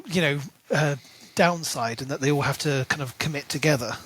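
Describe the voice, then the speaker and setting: A man with a fairly high voice talks about a book he loves on a podcast, building theories as he goes. He fills pauses with "you know" and "like" and sounds keen. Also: a bit bright. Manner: enthusiastic, theorizing, many fillers. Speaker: podcast co-host. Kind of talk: casual book discussion.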